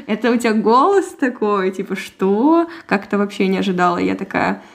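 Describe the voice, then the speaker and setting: A woman's voice: medium pitch, animated, normal speed, vocal fry. A woman co-hosting a podcast, chatting casually and animatedly, laughing often as she talks. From Russian- and Ukrainian-speaking. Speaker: podcast co-host. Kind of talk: casual chat.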